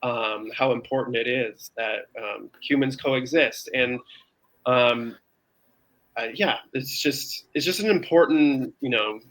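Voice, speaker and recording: A man's voice: medium pitch, slow pace, a bit bright, rough recording. Earnest man explaining in conversation. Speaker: interview guest, open space campaigner. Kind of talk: conversational interview answers.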